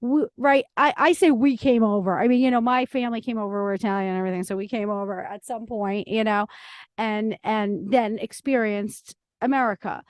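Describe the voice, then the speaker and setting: A woman's voice: medium pitch, fairly even intonation, brisk, dry recording. A woman hosting a podcast, talking casually in an effusive, emphatic way. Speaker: podcast host. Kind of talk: casual conversational interview.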